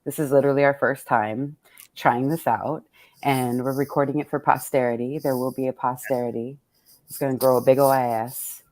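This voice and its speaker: A woman hosting as an author in casual conversation, gushing, informal and quick to laugh. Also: a bass voice, steady tone, a little mellow, rough recording. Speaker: author hosting a virtual event. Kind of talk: casual chat.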